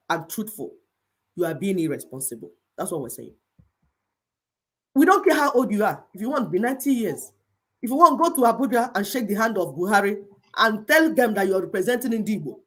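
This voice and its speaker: A woman's voice: mid-range voice, a bit bright, slightly rough. A middle-aged Igbo woman with a Nigerian accent, giving impassioned commentary in an emphatic, repetitive, preaching cadence. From Igbo people of Nigeria.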